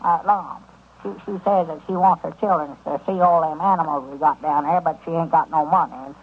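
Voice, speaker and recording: High-pitched husky old country storekeeper. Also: male voice, fairly even intonation, fairly quick. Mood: chatty, proud. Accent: rural Southern US. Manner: folksy, hemming and stammering. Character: excitable old country storekeeper turned would-be circus manager. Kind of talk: 1930s radio comedy acting. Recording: dull, lo-fi 1930s radio recording.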